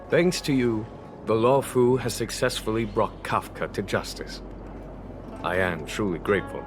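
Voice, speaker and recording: Calm shrewd game general, really deep voice, courtly and sure of himself, music behind. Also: male voice. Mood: genial, grateful. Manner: measured, courtly, self-assured. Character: composed, shrewd, genial male army general. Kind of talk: dramatic video game voice acting.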